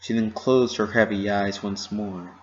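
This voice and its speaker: A man's voice: on the low side, steady tone, unhurried. A man narrating a story as a scripted dramatic reading. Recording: slightly muffled lo-fi recording.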